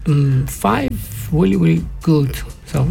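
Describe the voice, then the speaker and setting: Male rapper from Tunisia, high voice with a rough fry edge, easygoing, faint music under. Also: takes it slowly. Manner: relaxed, switches languages. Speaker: guest, music producer and rapper. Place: radio studio.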